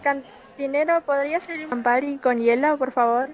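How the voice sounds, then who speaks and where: Radio play lead: scheming young woman, female actor, high pitch, slightly dull recording. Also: normal speed, natural voice. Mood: coy. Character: scheming young woman secretly bent on avenging her father. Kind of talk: amateur radio play acting.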